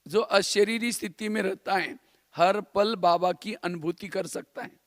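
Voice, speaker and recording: A man's voice: high-pitched, measured pace, no echo, clean studio sound. A man from India giving a spiritual class in a didactic way, repeating phrases and posing rhetorical questions. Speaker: spiritual teacher.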